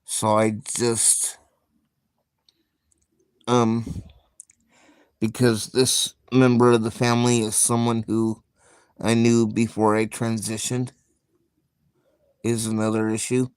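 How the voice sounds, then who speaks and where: A man hosting a casual live stream, talking in a slow, soft-spoken, reflective way with frequent pauses. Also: fairly low pitch, level tone, smooth, no room sound. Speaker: livestream host.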